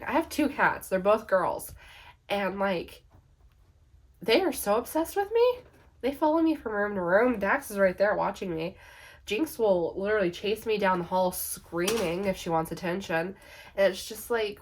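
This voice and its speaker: A young woman chatting casually to camera about her pets, a little hoarse from being sick, laughing often and filling with "uh" and "like". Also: mid-range voice, darkish tone. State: slightly hoarse from illness. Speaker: pet vlogger.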